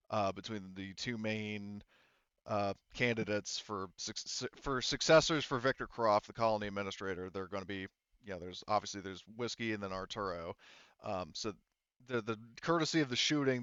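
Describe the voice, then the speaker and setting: A man narrating casually as game master of a tabletop roleplaying game, in a halting, conversational way. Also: fairly low pitch, fairly bright tone, clear, resonant tone, dry recording, lo-fi sound.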